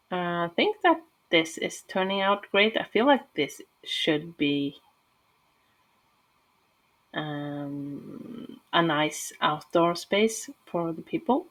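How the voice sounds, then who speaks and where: A woman's voice: lowish voice, rough recording. A woman from Norway with a Norwegian accent, talking casually and hesitantly through a game build with many fillers. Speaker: gaming channel host.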